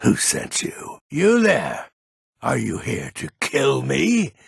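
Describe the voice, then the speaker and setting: An actor playing a grumpy, defiant old man in a fantasy video game, with a raspy, gravelly voice and a gruff, theatrical, emphatic delivery. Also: medium pitch, huge pitch swings, slow pace, no room sound, clean studio sound, exaggerated voice. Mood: irritable, defiant. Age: older.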